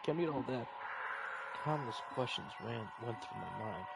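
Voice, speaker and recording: A man's voice: mid-range voice, lively intonation, moderate pace, gravelly. A man reading a visual novel game aloud in a dramatic way, with offhand reactions of his own. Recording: game music behind the voice. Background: game music.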